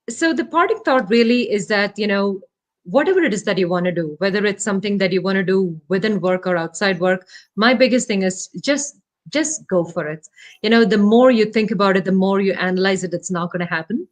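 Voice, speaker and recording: A woman's voice: medium pitch, moderate pace. A woman whose first language is Malayalam, a startup founder and podcast guest calling in from home, talking thoughtfully and modestly with many fillers as she searches for words. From India. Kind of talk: casual interview talk. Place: home, remote call.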